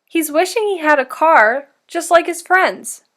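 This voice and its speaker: A woman with a high-pitched voice reads a picture book aloud as a teacher would for children. Her delivery is deliberate and instructive, made to be followed by young listeners. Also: animated, a bit bright. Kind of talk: interactive storybook read-aloud for children.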